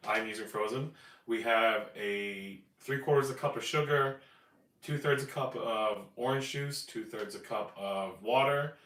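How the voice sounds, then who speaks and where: Raspy-voiced male cooking host, chatty and upbeat, recorded by a distant mic in an echoey kitchen. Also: mid-range voice, a little slow, a bit bright. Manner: upbeat, chatty, explains steps as he cooks. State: raspy, voice cracking after flu. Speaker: home cooking channel host. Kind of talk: live cooking stream chat. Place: home kitchen. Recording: echoey room, distant mic, faint noise. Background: kitchen clatter, hot plate.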